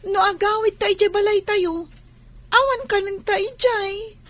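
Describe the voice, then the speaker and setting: Very high-pitched village girl. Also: unhurried, a bit bright, dry recording. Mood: frightened, pleading. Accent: Ilocano. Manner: childlike, plaintive. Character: anxious young village girl, protective big sister. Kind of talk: Ilocano radio comedy drama acting.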